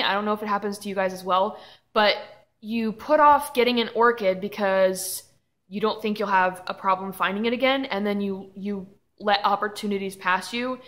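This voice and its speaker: A woman's voice: mid-range voice, fairly bright tone. A young woman with a Southern US accent chats casually from a room at home. She is enthusiastic and a little rambling, and she laughs easily. The sound is from a webcam on her desk. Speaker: orchid channel host. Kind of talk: casual chatty vlog.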